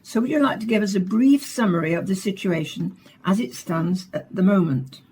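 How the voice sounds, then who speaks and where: An actress playing a composed, serious science programme presenter, speaking formally and deliberately in a radio drama. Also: female voice, medium pitch, warm tone, slight background noise, close to an everyday voice. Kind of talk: radio drama acting as a formal broadcast host.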